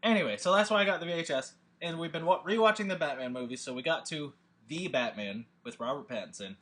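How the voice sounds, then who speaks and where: Bright-voiced man talking off the cuff. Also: on the high side, quick pace. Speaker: host and scriptwriter introducing a table read. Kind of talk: informal rambling monologue to an audience.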